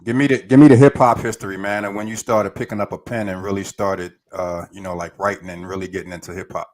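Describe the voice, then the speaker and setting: A man's voice: deep voice, fairly even intonation, fast talker, darkish tone. A man hosting a podcast, asking questions in a joking way, with slang like "yo" and "bro". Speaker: podcast host. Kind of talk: casual interview chat.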